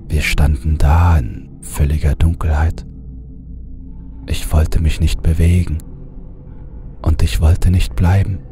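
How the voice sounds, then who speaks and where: A man narrating a horror audiobook in a hushed voice that often drops to a half-whisper. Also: extremely low pitch. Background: music bed.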